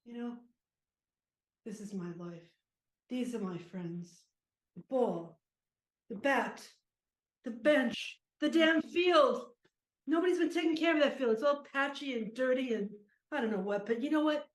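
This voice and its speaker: A woman playing a cranky, sentimental aging ex-baseball pitcher with an aching back, rambling and muttering in an improvised monologue. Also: measured pace, pauses often, close to an everyday voice. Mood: nostalgic, weary. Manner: rambling, muttering, groaning, talks fondly to objects. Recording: echoey room.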